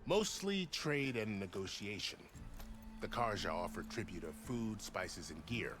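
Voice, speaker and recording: A man playing a courteous, dutiful military officer with a wry, measured manner in a video game. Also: fairly low pitch, expressive. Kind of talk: video game dialogue acting. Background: game music.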